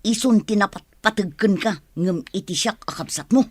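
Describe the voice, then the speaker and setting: A woman acting in a radio drama as a resentful, sharp-tongued aunt with a blunt, complaining manner. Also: medium pitch, moderate pace, slightly rough, no echo, natural voice. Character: resentful, sharp-tongued aunt, jealous of her sibling's child.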